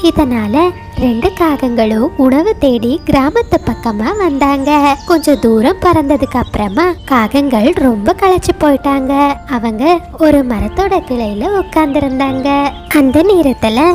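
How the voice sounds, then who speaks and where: A woman's voice: high-pitched, expressive, measured pace, darkish tone, soft background music. A woman reading scripted storybook narration for children.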